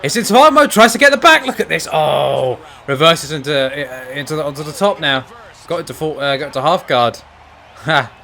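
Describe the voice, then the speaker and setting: Lively, bright-voiced young man commentating. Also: fairly high pitch, a little fast, creaky. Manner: excitable, bursts into shouting at big moments. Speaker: streamer commentating a fight game. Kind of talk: casual play-by-play commentary.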